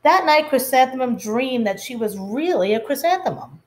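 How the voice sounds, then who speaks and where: A woman narrating a children's picture book in a deliberate, expressive storytelling manner. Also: high voice. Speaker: primary school story reader.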